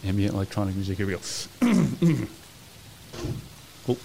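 A young man co-hosting a casual podcast, joking around and reciting a movie monologue slowly. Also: on the low side, sing-song, gravelly, dark tone, a little hiss or hum, poor audio. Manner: laid-back, joking, slow dramatic recitation. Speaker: podcast co-host. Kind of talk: casual chat.

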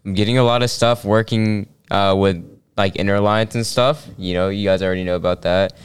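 A man's voice: lowish voice, steady tone, fairly quick, clear, resonant tone. A young man co-hosting a podcast, bantering in a loose, slangy way. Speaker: podcast co-host. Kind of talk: casual chat.

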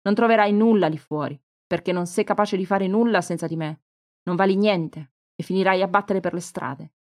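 Woman voicing a harsh, shouting mother. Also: mid-range voice, a little fast, dry recording, clean studio sound, close to an everyday voice. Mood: threatening. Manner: shouting, contemptuous. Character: harsh bitter middle-aged mother.

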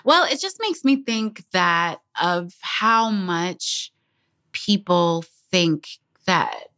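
Lower-pitched American woman, earnest podcast host. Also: lively intonation, very slow, a bit bright. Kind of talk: casual podcast chat.

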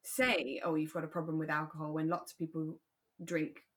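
A woman with a fairly low voice chats as a podcast co-host. She is reflective and agreeable, keeping the discussion relaxed and conversational. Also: normal speed. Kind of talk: relaxed discussion of a radio drama.

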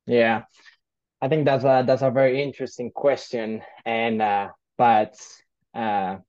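A man in his late twenties from Colombia tells his story as a podcast guest. He talks haltingly, full of fillers and restarts. His voice comes through a slightly muffled remote call line at a middling pitch. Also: level tone, darkish tone. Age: late 20s. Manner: hesitant, many fillers and restarts. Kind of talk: casual storytelling interview.

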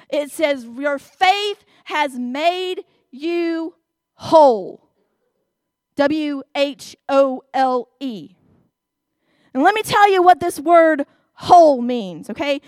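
A woman with a Southern US accent preaching a sermon, stressing her words slowly and with emphasis. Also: high-pitched, no room sound, clean studio sound.

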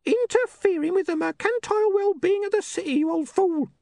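Shrill scolding old wife. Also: male voice, fairly quick, creaky, warm tone, no room sound. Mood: scolding, indignant. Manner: scolding, exasperated.

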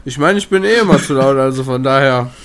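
A man's voice: mid-range voice, quick pace, fairly bright tone. A man in his early twenties co-hosting a podcast, laid-back and slangy, often laughing. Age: early 20s. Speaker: podcast co-host. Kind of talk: casual chat. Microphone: own home microphone setup.

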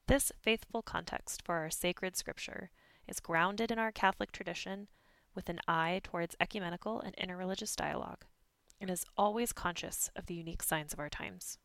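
A woman's voice: on the low side, vocal fry, fairly bright tone, no room sound. A woman announcer reading a scripted promotional outro for a podcast.

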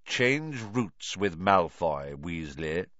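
A man plays a menacing storybook schoolmaster, cold and contemptuous. He speaks deliberately, with sharply swinging intonation that bears down on the listener. The sound is dry and slightly dulled. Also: deep voice, big pitch swings, a bit bright, dry recording, muffled recording, heavily acted. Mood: cold command. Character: cold, menacing male teacher, scornful and bullying.

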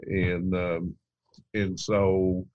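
A man's voice: low-pitched, level tone, extremely slow, a little mellow, highs cut off, a little hiss or hum. A man working as an account manager, talking casually in an interview in an earnest, plainspoken way with frequent fillers.

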